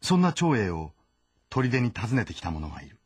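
A man's voice: lowish voice, big pitch swings, normal speed, husky, no echo. A man narrating a historical game story in a dramatic, scripted style.

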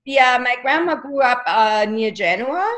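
A woman's voice: high voice, lively intonation, fairly bright tone. Chatty German woman on a video call. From Germany, near Salzburg. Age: 40s. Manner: chatty, laughs easily, quick asides. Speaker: guest, costume designer. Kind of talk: casual interview chat. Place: home room, video call. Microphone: webcam on video call.

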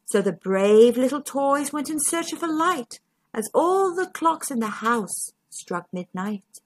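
A woman storytime host with a husky voice, reading a children's story expressively to young listeners. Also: high-pitched, big pitch swings, a little slow. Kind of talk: storybook read-aloud narration.

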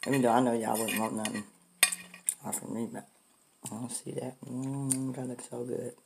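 Hoarse, very low-voiced woman vlogger. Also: flat delivery, moderate pace, noisy background. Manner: chatty, affectionate toward viewers, talks while eating. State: hoarse from a cold. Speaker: food vlogger. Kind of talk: casual eating vlog chat. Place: home kitchen, indoors.

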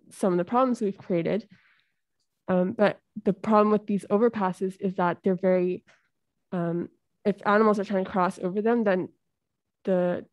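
A woman's voice: mid-range voice, darkish tone, no room sound. A young woman speaking in an online class discussion, explaining steadily with some fillers. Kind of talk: casual discussion. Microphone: video call.

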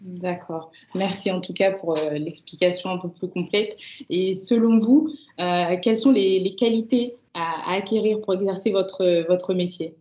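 A woman's voice: on the low side, steady tone, mellow. Hesitant young woman in a roomy space. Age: young adult. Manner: hesitant, halting. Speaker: student interviewer. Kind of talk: formal interview questions. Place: indoor room, video call. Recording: roomy, dull video-call audio.

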